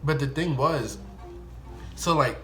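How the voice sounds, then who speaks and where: A young man with an American accent telling a casual, slangy first-person story in an animated way, acting out bits of dialogue. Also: fairly high pitch, takes it slowly, over music. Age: young adult. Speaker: YouTube storyteller.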